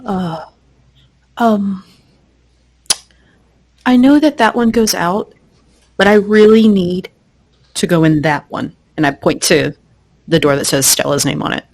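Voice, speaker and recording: Low-voiced woman role-playing. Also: measured pace, long pauses, a little mellow. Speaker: player in a tabletop role-playing game. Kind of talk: casual in-character role-play.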